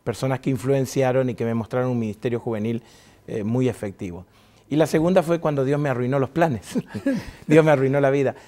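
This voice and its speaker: A middle-aged man speaks Spanish with an Argentine accent, joking and laughing as he tells his story. His voice sits at an ordinary male pitch. He sounds clean and close through a lapel mic on a TV studio set. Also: a little fast, warm tone. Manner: jovial, laughs often, chatty with restarts. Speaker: pastor and guest. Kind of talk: relaxed interview storytelling.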